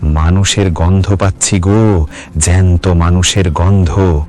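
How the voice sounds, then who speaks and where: A man voicing sinister ghosts hungry for human flesh, in a drawn-out, menacing horror-story delivery. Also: sits low, fairly even intonation, a little mellow, voice pushed down. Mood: hungry menace.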